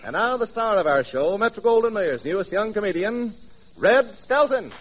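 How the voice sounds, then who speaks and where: Animated 1940s American radio announcer, man. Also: high-pitched, normal speed, heavy background noise. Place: radio studio before an audience. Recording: 1940s radio broadcast with music.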